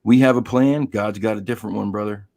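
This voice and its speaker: A man with a low, gravelly voice hosts a live-stream chat, his throat hoarse and worn. He talks in a friendly, rambling way and calls out viewers by name. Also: fairly even intonation, a little fast, darkish tone. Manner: chatty, greets viewers by name.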